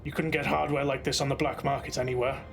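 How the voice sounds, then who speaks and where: An actor playing a hard-boiled, impatient police marshal in a noir audio drama, gruff and clipped with dry wit and a gravelly voice. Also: male voice, mid-range voice, flat delivery, fast, soft background music, lightly acted. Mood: brooding narration. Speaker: narrator and lead character.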